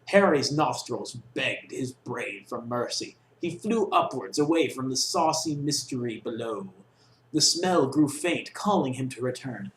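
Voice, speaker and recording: A young man reading a comic story aloud in a theatrical, mock-serious way. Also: fairly high pitch. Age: young adult. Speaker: reader. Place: small dorm room with block walls, indoors. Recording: echoey bare room.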